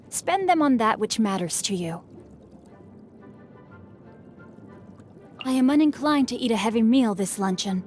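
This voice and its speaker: Game role: mischievous young noble lad played by a woman, lightly high voice, polite formal diction, bookish and teasing, over soft music. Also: natural voice. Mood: composed, cheerful. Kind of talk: scripted game character voicelines. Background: background music.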